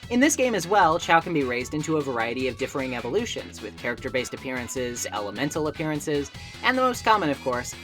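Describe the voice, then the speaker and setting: A woman with a notably low voice delivers scripted review narration. Her intonation moves around a lot, with wry, opinionated asides in a conversational manner. Faint music plays behind her. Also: sing-song, fast, fairly bright tone. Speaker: video essay host and game reviewer. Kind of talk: scripted video essay narration. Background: background music.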